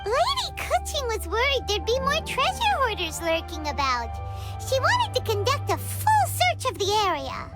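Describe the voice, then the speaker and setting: A woman voicing a tiny floating fairy companion in a game cutscene, chatty and excitable. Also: very high voice, normal speed, heavily acted. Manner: excitable, chirpy, exclamatory. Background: loud game music.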